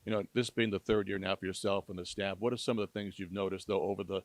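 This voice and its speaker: A man's voice: low voice, steady tone, fast, no room sound. A man hosting a sports show, asking questions loosely with fillers. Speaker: show host. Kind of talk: hosting an interview.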